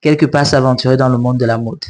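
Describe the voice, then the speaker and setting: A man's voice: mid-range voice, steady tone, quick pace, a little mellow. A man hosting an interview, putting conversational questions and prompts to a guest. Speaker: interviewer, host.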